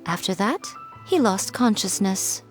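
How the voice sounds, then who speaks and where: A woman's voice: mid-range voice, lively intonation, a little slow, faint music behind, natural voice. A woman voicing a composed, self-assured schemer in a video game, with a teasing, knowing manner. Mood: explaining calmly.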